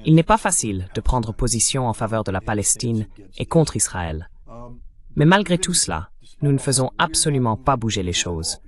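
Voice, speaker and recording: A man delivering dubbed political commentary as the translated voice of an interview guest. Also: medium pitch.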